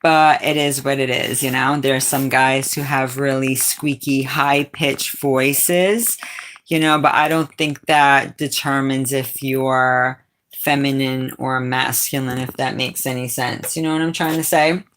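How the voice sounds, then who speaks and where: Raspy-voiced woman from Brazil. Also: a bass voice, fairly even intonation, unhurried, fairly bright tone, a little hiss or hum. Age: middle-aged. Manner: laughs often, calls viewers sweetheart and darling. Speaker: livestream host. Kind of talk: casual chat answering viewers.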